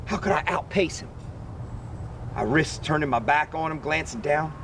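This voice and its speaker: A man reading a children's picture book aloud as an expressive narrator. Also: fairly high pitch, normal speed, slight background noise. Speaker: story reader.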